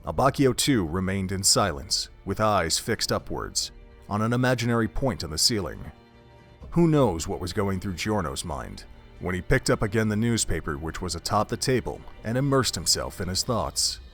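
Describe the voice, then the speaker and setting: A man's voice: low voice, sing-song, moderate pace, a bit bright, soft background music. A man reading scripted story narration as the narrator of an audio drama.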